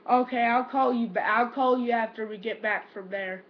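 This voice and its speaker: A teenage male prank caller talking casually in a halting, repetitive, confused manner. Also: very high-pitched, steady tone. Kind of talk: prank phone call, casual improvised talk. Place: small room at home, indoors. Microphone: webcam or laptop microphone. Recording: dull, noisy webcam microphone.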